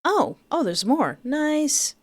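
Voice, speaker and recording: A woman hosting a game playthrough, reading narration aloud and reacting casually in a playful, expressive way. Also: high voice, takes it slowly, dry recording.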